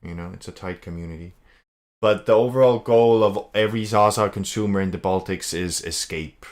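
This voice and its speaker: Young Latvian-raised male streamer, low-ish voice, relaxed rambling delivery, heavy on "bro". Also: a little fast. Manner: laid-back, rambling, says "bro" constantly. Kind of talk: casual reaction stream.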